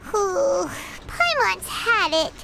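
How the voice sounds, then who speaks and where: A woman voicing a tiny, childlike, excitable fairy companion with a squeaky voice in a video game. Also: drawn-out words, gravelly, very noisy, full character voice. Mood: weary. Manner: refers to self in third person, exclamatory. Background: game music.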